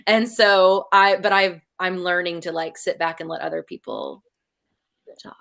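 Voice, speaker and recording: Bubbly young woman, low voice. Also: fairly even intonation, a little slow, creaky, a bit bright. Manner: bubbly, talkative, laughs easily. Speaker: guest. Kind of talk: casual video call chat. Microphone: video call.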